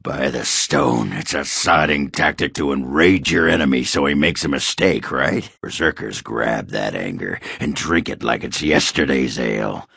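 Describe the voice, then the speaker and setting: Gruff, crude dwarf berserker for a fantasy game: extremely low, raspy, blunt and hard-drinking. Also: male voice, unhurried, hardly any pauses, fairly bright tone, no room sound, clean studio sound, full character voice. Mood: gruff, boastful. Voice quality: gravelly, raspy. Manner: crude, blunt, swearing, grunting. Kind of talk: video game character acting.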